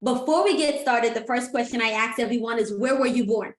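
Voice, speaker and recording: A woman's voice: fairly high pitch, a little fast. A woman hosting an interview, chatty and enthusiastic as she asks her questions. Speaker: host. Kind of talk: casual interview. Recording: roomy echo.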